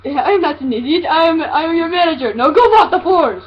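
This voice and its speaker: A young woman co-hosting an amateur prank video, chatting casually in an excitable, goofy, contrary way. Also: extremely high pitch, a little fast, muffled recording. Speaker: co-host of a prank call video. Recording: noisy amateur home recording.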